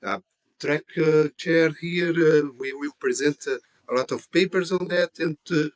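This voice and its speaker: A man addresses a conference audience, his speech broken up by frequent pauses and fillers. He sounds tired from jet lag, with a voice pitched a little high for a man. The sound is dry and close, with almost no room echo. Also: steady tone. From Chile. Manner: halting, many fillers, non-native phrasing. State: jet-lagged. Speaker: committee chair at a conference. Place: conference hall.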